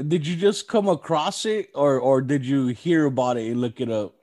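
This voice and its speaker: A man's voice: medium pitch, normal speed, a little mellow. A man hosting a podcast, chatting casually in an agreeable, slangy way. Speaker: podcast host.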